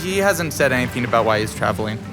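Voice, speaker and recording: A man's voice: medium pitch, animated, quick pace, lightly acted. Man acting anxious young student, music behind. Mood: confiding. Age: young adult. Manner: hesitant, stammering. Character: anxious, earnest male student space traveler. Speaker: actor in an audio drama. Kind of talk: audio drama narration and dialogue.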